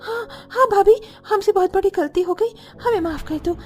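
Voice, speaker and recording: A woman's voice: extremely high pitch, moderate pace, darkish tone. A woman voicing a bratty, lazy young sister-in-law in a cartoon, whiny and demanding. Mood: pleading. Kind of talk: Hindi cartoon dialogue acting.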